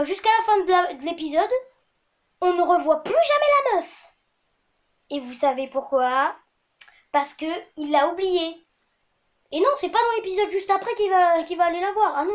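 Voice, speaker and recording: A woman's voice: very high-pitched, muffled recording. A woman hosting a comedy web show, ranting to camera in crude, slangy exaggeration. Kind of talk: comic rant to camera.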